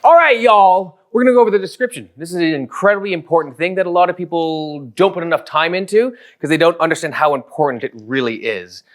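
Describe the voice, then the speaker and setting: A man's voice: on the high side, fast talker, few pauses. A man co-hosting a show, giving an emphatic, informal presentation. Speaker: co-host, presenter. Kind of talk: slide presentation.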